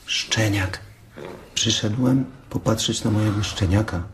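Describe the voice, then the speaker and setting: A man playing a gentle, slow-witted, childlike farm hand in a Polish radio drama, with a naive, simple manner. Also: lowish voice, slight background noise, close to an everyday voice. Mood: innocent, eager.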